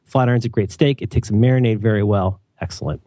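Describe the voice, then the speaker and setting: A man hosting a casual chat, animated and opinionated, joking often and restarting his sentences. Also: fairly low pitch, fast, no room sound, clean studio sound. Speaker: podcast host.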